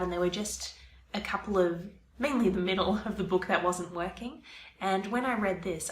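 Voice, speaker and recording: A woman's voice: lowish voice, echoey room, slight background noise. Stuffy-nosed young woman vlogging. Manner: chatty, explains her plans step by step. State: stuffy from a cold. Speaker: vlogger, aspiring fiction author. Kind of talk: casual vlog talk to camera.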